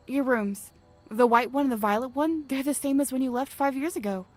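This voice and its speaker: An actress playing a dutiful, practical young woman of the household in a visual novel drama, brisk and matter-of-fact. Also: high voice, dry recording, soft background music, natural voice.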